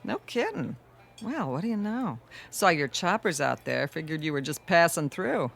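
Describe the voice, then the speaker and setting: An actress playing a friendly, teasing roadside diner waitress in a radio drama, with folksy, breezy, flirty small talk. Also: female voice, lowish voice, very animated, moderate pace, gravelly, fairly bright tone, exaggerated voice. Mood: chatty, curious.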